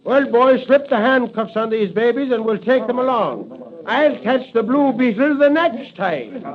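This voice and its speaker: An actor playing a brash, blustering police officer in an old-time radio drama. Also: male voice, very high-pitched, lively intonation, unhurried. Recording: tinny lo-fi vintage radio recording.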